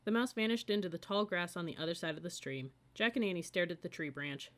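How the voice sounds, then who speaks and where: A woman's voice: on the low side, expressive, quick pace, a bit bright. A woman reading a children's audiobook as scripted story narration. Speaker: audiobook reader.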